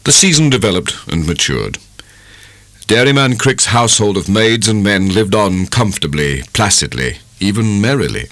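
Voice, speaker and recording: A British man narrates a classic novel in a deep voice with animated, expressive intonation. His delivery is dramatic yet measured, and the recording is close and dry. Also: dry recording. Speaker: audiobook narrator. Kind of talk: dramatic scripted literary narration.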